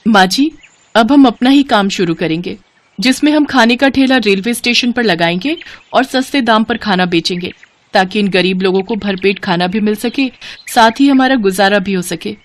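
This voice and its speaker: A woman's voice: mid-range voice, normal speed, close to an everyday voice. Lady doing earnest young bahu food seller, storybook style, big hearted. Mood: determined.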